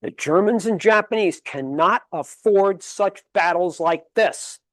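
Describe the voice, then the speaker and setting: A man's voice: high-pitched, unhurried, a bit bright, no echo. A man giving a history lecture at a public library, emphatic and fond of rhetorical questions, rattling off dates and figures. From Connecticut, USA. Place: library meeting room.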